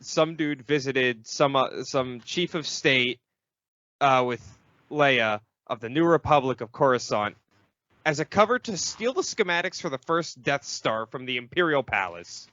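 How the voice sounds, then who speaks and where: Animated man, bright dry podcast voice. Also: mid-range voice, a little slow, no echo. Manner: casual, hesitant, sweary. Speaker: podcast host presenting a segment. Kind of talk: casual banter about a fan wiki. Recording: dull lo-fi podcast audio.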